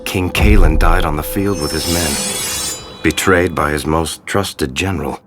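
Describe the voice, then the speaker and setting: An actor playing a roguish, wry dwarf storyteller, narrating a game's tale in a knowing, measured, dramatic way. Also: male voice, extremely low pitch. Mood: grave. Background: music.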